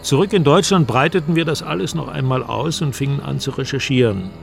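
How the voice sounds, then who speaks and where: A male investigative reporter tells his story in measured, literary radio-drama narration. His pitch is mid-range, and clear outdoor ambience with traffic runs behind him. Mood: measured, reflective. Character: male investigative reporter recounting a jungle search. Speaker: first-person narrator of a radio documentary drama.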